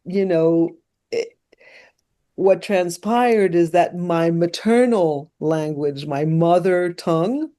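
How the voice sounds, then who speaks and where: Interview guest: French-born woman, sixties, French first language, mellow voice, halting with fillers and restarts. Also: fairly low pitch. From France. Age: 60s. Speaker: documentary filmmaker guest. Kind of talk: casual interview conversation.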